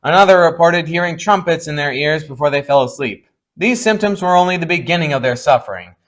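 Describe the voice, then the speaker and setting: A man's voice: on the high side, fairly quick, fairly bright tone. A man narrating a scripted explainer, with informal, playful asides to the viewers. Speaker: video essay narrator.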